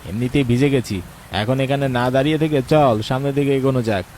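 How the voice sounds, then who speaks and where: A man's voice: mid-range voice, fast talker, darkish tone, a little hiss or hum, natural voice. A man playing a reflective narrator and wary friend, telling an atmospheric scripted ghost story. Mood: bantering. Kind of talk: atmospheric scripted horror storytelling with dramatised dialogue. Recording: rain and thunder behind the voice. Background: rain, thunder.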